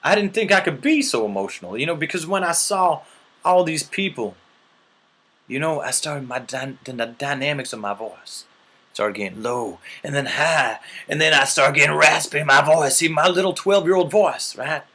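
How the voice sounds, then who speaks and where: A man's voice: fairly high pitch, lively intonation, normal speed, pushed and bright. Earnest rambling male storyteller. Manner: earnest, rambling, keeps asking "right?". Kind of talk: rambling mock-serious storytelling.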